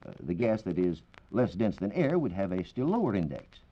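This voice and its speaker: An American man explaining science in a measured, precise, formal way as a reporter on a 1940s radio program. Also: deep voice, big pitch swings, breathy edge. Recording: dull 1940s radio recording.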